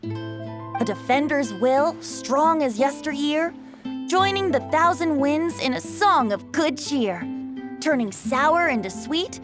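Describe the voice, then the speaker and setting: A woman's voice: high-pitched, expressive, slow pace. A woman voicing a playful, theatrical young male bard in a video game, with a lilting, poetic delivery. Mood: lyrical, dreamy. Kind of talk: video game character acting with recited verse. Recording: music behind the voice.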